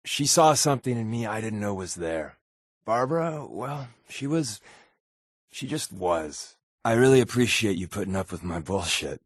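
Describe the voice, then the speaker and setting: A wry, secretly wounded undercover agent from a video game. His voice is a lowish man's, husky and recorded close and dry. He talks conversationally, with deadpan sarcasm. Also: moderate pace, dry recording. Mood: somber, confessional. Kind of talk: video game companion dialogue.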